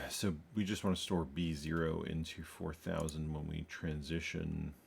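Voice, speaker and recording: Tired, low-voiced man coding aloud. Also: steady tone, a little slow, vocal fry, slight background noise. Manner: thinking aloud, many ums and uhs, tired. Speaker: programming tutorial host. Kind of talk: casual screen-recorded coding walkthrough.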